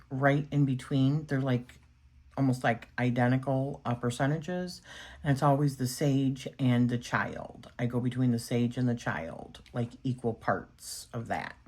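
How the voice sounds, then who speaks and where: A woman's voice: a bass voice, a little mellow, breathy edge. A woman with a strong voice, chatting casually and rambling a little as she talks through a tarot spread. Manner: chatty, rambling with many fillers. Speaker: tarot reader. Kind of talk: casual spoken tarot session.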